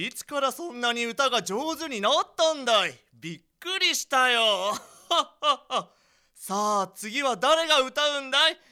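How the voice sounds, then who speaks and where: A man's voice: very high voice, lively intonation, unhurried, pushed and bright, clean tone, full character voice. A man playing an oblivious, cheerful company president who is effusive and jovial in a comic radio drama. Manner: effusive, chortling, blithely jovial.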